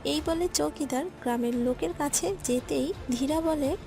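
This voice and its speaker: A woman's voice: high voice, normal speed, vocal fry, music in the background. A woman giving scripted narration for a cartoon story.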